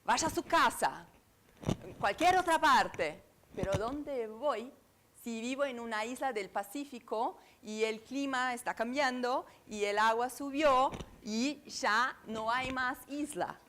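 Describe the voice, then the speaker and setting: A woman's voice: on the high side, takes it slowly, a bit bright. A woman with an Argentine Spanish accent giving a conference talk, searching for her words with many fillers and restarts and checking in with the audience. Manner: asks the room for agreement with tag questions. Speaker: conference speaker on astrology. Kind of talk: lecture to a live audience.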